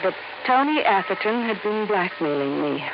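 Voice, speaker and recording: An actress plays a young American woman burdened by her past in a vintage radio mystery. She speaks earnestly and hesitantly. The recording is dull and clearly hissy. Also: medium pitch, animated, normal speed, close to an everyday voice. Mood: confessing, anxious. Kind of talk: 1950s radio drama acting.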